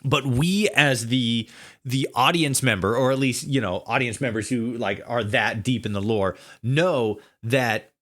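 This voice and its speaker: A man in a casual podcast conversation, speaking haltingly as he searches for words and repeats himself. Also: mid-range voice, a bit bright. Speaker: podcast guest, audio drama writer. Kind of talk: casual chat.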